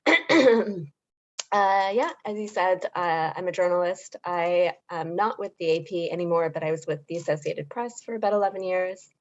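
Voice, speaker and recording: A woman journalist with a hoarse voice, giving clear and articulate interview answers. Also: fairly low pitch, moderate pace, highs cut off. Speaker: journalist guest.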